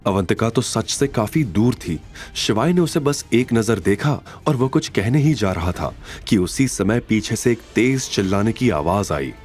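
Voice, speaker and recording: A man's voice: on the low side, lively intonation, unhurried, a little mellow, faint music behind. A man giving dramatic scripted narration as the narrator of an audio story.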